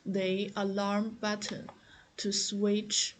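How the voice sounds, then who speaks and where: Female product demonstrator, unhurried and hesitant, repeating herself as she explains, over audible background noise. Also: mid-range voice, monotone. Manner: halting, hesitant delivery with frequent repeats and fillers.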